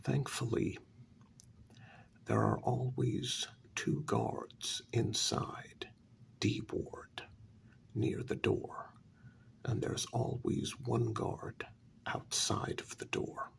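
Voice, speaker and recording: A man telling a story in a soft-spoken ASMR style, breathy and deliberate, with many dramatic pauses. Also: mid-range voice, fairly even intonation. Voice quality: breathy half-whisper with heavy creak. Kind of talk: soft-spoken ASMR story narration, first-person role-play.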